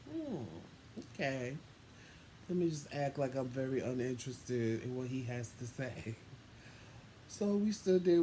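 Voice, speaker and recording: Dry, sassy man with a mellow voice. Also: fairly high pitch. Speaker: co-host telling a couple's story. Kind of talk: casual storytelling with acted-out quotes. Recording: noisy room ambience.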